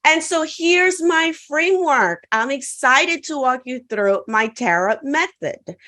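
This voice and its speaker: A woman's voice: high-pitched, lively intonation, slow pace, pushed and bright. A woman from the Caribbean whose first language is French, giving an online conference talk in an upbeat coaching manner and often asking the audience to answer in the chat. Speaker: conference speaker.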